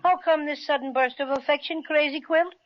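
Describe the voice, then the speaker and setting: A woman's voice: sits high, steady tone, brisk, a little hiss or hum, close to an everyday voice. A woman playing a small, feisty boy teddy bear with a blunt, wisecracking way in a children's radio serial. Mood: feisty, grudgingly fond. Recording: dull, lo-fi old radio recording.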